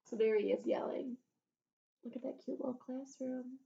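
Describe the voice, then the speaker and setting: A woman's voice: high-pitched, fairly even intonation, moderate pace, creaky, warm tone, highs cut off. A woman reading a children's storybook aloud as a schoolteacher, expressively, with asides to her young pupils. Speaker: elementary school teacher.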